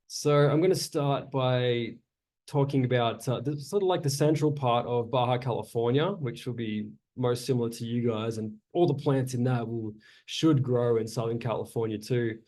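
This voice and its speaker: A man with an Australian accent, giving a relaxed, chatty talk as a guest speaker over a video call, with frequent uhs. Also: medium pitch, moderate pace. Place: home in Chile.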